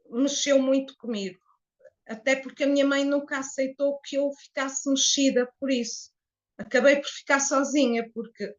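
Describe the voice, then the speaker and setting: High-voiced Portuguese woman in her fifties. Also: highs cut off. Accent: Portuguese (European Portuguese). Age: 50s. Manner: reflective, unhurried, with hesitations. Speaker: guest. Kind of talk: personal testimony in an interview.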